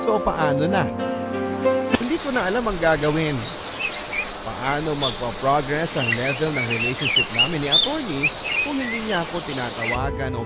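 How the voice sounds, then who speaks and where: Male radio narrator, Filipino accent, medium pitch, lively intonation, conversational first-person storytelling, loud music bed.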